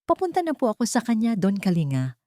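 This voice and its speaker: A woman's voice: fairly high pitch, wildly swooping pitch, fast, warm tone, no echo, clean studio sound, voice pushed up. A woman with a Filipino accent voicing a scheming husband who pleads with feigned remorse in an audiobook. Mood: nervous excuses. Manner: pleading, deferential to his elder.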